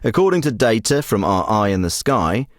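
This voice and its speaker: A man's voice: on the low side, very animated, moderate pace, no echo. A man voicing a middle-aged special forces handler in a video game, giving terse, businesslike briefings. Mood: grave. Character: clipped, steady male military handler, middle-aged. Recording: dry close studio recording.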